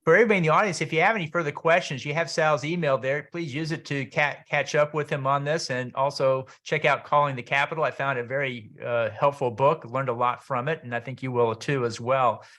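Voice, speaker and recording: A man's voice: medium pitch, fairly even intonation, a little fast, few pauses, bright, forceful tone. A middle-aged or older man hosting an interview in a conversational way. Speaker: interview host.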